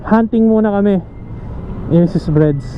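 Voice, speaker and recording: High-pitched Filipino man, casual vlogger. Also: a little slow, muffled recording, a little hiss or hum. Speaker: motorcycle vlogger and host. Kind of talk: casual bilingual tutorial talk.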